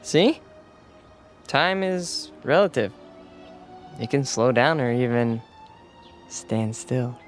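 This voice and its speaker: An actor playing a gentle, smitten young man in a radio drama, speaking tenderly and hesitantly. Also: mid-range voice, lively intonation, slow, long pauses, faint music behind. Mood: playful, coaxing.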